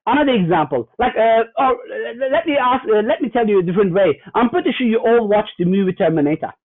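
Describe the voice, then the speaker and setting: A high-pitched man from Germany speaks as a guest in a fireside chat. He explains earnestly, often pausing on fillers and restarting his sentences. His voice comes through a tinny, phone-like remote line with a dry sound. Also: expressive, no room sound. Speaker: guest cybersecurity executive.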